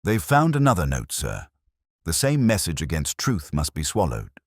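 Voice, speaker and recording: Male reader playing grim police sergeant for a mystery tale. Deep, blunt, grumbling, dry recording. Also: wildly swooping pitch, normal speed, dry recording, heavily acted. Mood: grimly satisfied. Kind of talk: audiobook mystery character voice.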